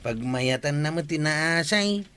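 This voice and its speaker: Actor as a higher-voiced Ilocano village gossip. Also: male voice, expressive, measured pace, pushed and bright, clean tone. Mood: teasing. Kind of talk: Ilocano radio comedy drama dialogue.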